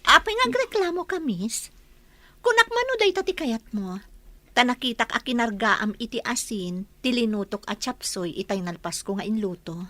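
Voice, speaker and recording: A woman's voice: fairly high pitch, expressive, normal speed, pushed and bright, slight background noise. A woman acting a dutiful young household cook who stands up for herself, in an Ilocano radio comedy drama. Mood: defiant, indignant.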